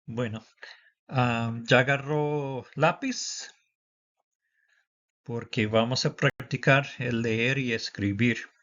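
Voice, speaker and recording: Guy teaching citizenship test prep, slow and patient, mixes spanish and english, kinda dull audio. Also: medium pitch, a bit bright.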